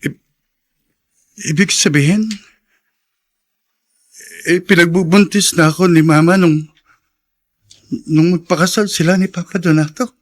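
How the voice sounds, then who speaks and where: An actor playing a stunned, hurt son with a Filipino accent in a radio drama. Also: male voice, high voice, fairly even intonation, unhurried, long pauses, no room sound. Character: stunned, hurt son learning of his parentage.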